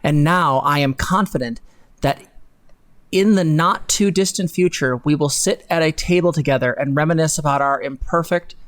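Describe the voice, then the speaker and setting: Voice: mid-range voice, normal speed. A young adult livestream co-host speaking bluntly and emphatically into a close desk mic, sarcastic and casually sweary. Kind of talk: dramatic reading and opinionated reaction commentary. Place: small home room, indoors. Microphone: close desk microphone on boom arm.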